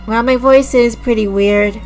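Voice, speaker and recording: A woman with a slightly raspy throat reads a visual novel aloud at an unhurried pace, stopping to restart stumbled words and to drop short disgusted reactions. She talks into a streaming desk mic. Background music plays clearly under her voice. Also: on the high side, fairly even intonation. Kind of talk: slow dramatic read-aloud with casual asides to chat. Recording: music playing clearly behind the voice.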